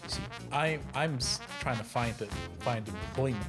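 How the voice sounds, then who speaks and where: A man with a mid-pitched voice talks casually about himself as a podcast panellist. He is halting and self-deprecating, and a guitar plays clearly behind him. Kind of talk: casual chat, personal anecdotes. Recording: guitar music under the voice. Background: plucked guitar music.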